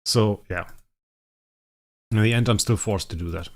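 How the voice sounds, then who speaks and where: A young man, a native German speaker, casually thinking aloud as he streams a game, his sentences often trailing off quietly. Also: lowish voice, moderate pace. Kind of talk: casual livestream commentary.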